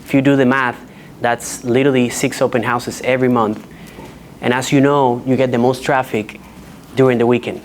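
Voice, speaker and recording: Man from the dominican republic on a panel, earnest and measured, mid-pitch voice, bit of background hiss. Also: level tone. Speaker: real estate agent on a panel. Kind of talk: panel discussion.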